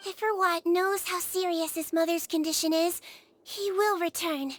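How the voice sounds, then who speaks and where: A tiny gentle creature nurse. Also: female voice, very high voice, steady tone, normal speed, a little hiss or hum. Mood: requesting. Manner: soft, patient, matter-of-fact. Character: small gentle nonhuman nurse girl, calm and caring. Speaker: head nurse. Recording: game audio with music behind.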